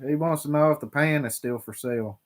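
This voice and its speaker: Relaxed farmer with halting talk. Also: male voice, on the high side. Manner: drawling, relaxed, halting with fillers. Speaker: farmer co-hosting a livestream. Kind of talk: casual chat reading viewer questions.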